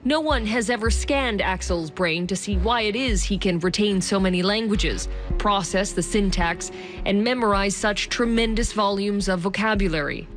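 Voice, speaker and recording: A woman narrating a news feature in a measured, scripted reporting style. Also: mid-range voice. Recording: voice-over with music underneath.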